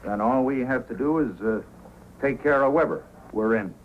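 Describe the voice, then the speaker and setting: An actor playing a blunt, threatening gambling syndicate enforcer in an old-time radio drama. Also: male voice, mid-range voice, normal speed, natural voice. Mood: menacing. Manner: menacing, blunt. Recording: dull, faintly noisy old radio recording.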